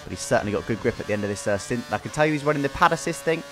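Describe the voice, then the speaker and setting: Very fast-talking man commentating on racing. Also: mid-range voice, expressive. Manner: explanatory, recapping. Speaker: video creator commentating on his own racing game race. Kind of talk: casual race commentary.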